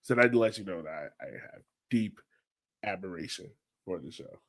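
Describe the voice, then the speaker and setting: A man co-hosting a sports podcast in casual conversation, informal and a little halting as he thinks aloud. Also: low-pitched, expressive. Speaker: podcast co-host.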